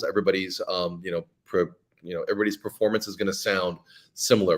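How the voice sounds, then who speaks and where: A man being interviewed as a guest, a fiction podcast producer answering questions with enthusiasm. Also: sits low.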